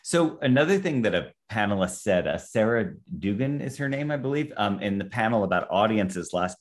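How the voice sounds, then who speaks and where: Male interviewer, fairly low voice, relaxed conversational moderating style. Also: moderate pace.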